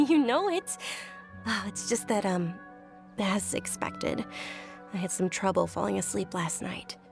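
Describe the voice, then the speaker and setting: A woman voicing a gentle, gracious young noblewoman in a video game, polite and softly explanatory. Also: mid-range voice, slow, creaky. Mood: cheerful, grateful. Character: gentle, gracious young noblewoman, frail yet cheerful and devout. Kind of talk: video game character acting. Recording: music behind the voice.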